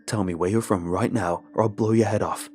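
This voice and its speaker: A man's voice: fairly low pitch, lively intonation, moderate pace, darkish tone, faint music behind, lightly acted. A man voicing a hot-tempered ranger in a horror story, blunt, threatening and commanding. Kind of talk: dramatic horror audiobook character.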